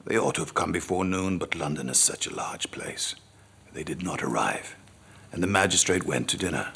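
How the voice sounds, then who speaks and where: An actor playing a worldly, wry gentleman narrating his memoirs theatrically, with a gravelly voice that drops toward a whisper. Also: low voice, normal speed, heavily acted. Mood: dramatic, weary. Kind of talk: dramatic first-person memoir narration.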